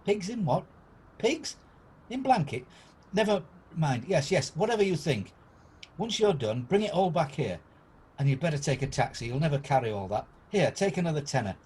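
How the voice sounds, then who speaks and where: Man acting elderly reformed miser, husky, very animated pitch, flustered, eager, exclamatory, amateur radio play. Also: normal speed. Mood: eager, generous.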